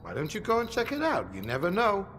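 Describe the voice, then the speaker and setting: An actor playing a world-weary, blunt workshop technician in a video game, talking informally. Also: male voice, high voice, huge pitch swings, fast, fairly bright tone, slight background noise, exaggerated voice. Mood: matter-of-fact. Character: gruff, world-weary male workshop technician, small cog. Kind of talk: video game cutscene dialogue.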